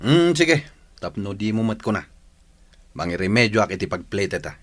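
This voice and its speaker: A man's voice: on the low side, big pitch swings, moderate pace, a bit bright. Man acting a grumbling Ilocano husband, swinging intonation. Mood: suspicious. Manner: scolding, suspicious. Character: jealous, grumbling, stingy village husband. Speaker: radio drama actor. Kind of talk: Ilocano radio comedy drama acting.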